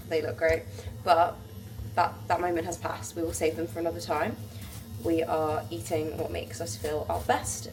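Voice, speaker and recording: A woman's voice: fairly low pitch, recorded from a distance. A young woman with a blocked-up, congested voice, chatting casually to camera in her home kitchen and rambling with lots of "like". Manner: rambling, filler-heavy, says "like" constantly. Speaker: vlogger. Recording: echoey room with background noise.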